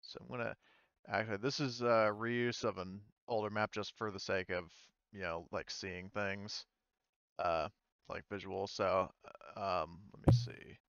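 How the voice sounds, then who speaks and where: A man narrating a tabletop game casually as game master, halting and informal in his delivery. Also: lowish voice, fairly even intonation, a bit bright, clear, resonant tone, no room sound, highs cut off. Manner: halting, informal, mild swearing.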